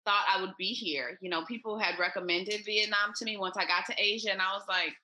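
A woman's voice: medium pitch, fairly even intonation, brisk, bright, forceful tone. An American woman chatting as a podcast guest, telling upbeat stories over a remote call. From United States. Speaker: podcast guest, expat traveler. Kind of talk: casual interview chat.

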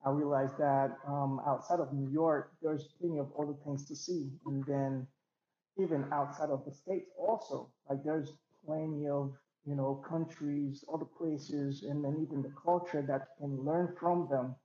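A young man from Haiti, a DJ, talking in a relaxed, rambling way with many fillers, as a guest on a video call. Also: on the high side, moderate pace, reverberant, dull audio. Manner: laid-back, rambling with many fillers and restarts. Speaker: DJ and interview guest. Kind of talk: casual interview over a call.

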